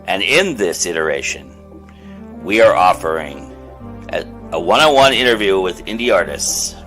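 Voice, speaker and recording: A man reading a scripted podcast intro in a slow, deliberate announcing manner. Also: sits low, a bit bright. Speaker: podcast host.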